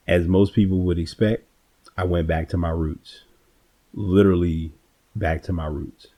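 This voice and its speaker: A man hosting a solo podcast, speaking in a casual, reflective, unscripted way, often halting and repeating words, with a husky voice. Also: low voice, dark tone. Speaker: podcast host.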